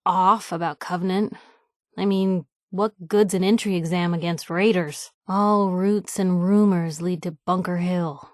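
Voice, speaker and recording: A young woman with an American accent playing a plucky, wisecracking reporter companion in a video game, with a wry, expressive delivery. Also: on the low side, unhurried, no echo, studio quality, close to an everyday voice. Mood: curious, wry. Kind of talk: video game voice acting, in-character banter.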